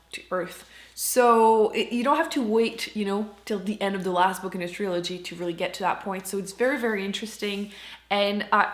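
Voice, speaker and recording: A woman hosts a book review vlog in a casual, chatty way. Her voice sits at an average pitch and she leans on key words for emphasis. The recording has a clear roomy echo around her. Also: fairly bright tone. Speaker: book reviewer and channel host.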